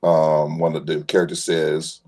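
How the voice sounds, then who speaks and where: A man's voice: rumbling low, completely flat delivery, unhurried, a little mellow. A man speaking casually and emphatically as a fan panelist, rambling through his thoughts in colloquial phrasing. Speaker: fan panelist on a live show. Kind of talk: casual passionate fan discussion.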